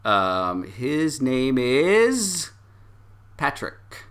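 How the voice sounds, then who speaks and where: A man improvising narration as the game master of a tabletop role-playing game, halting as he thinks aloud. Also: mid-range voice, sing-song, a bit bright.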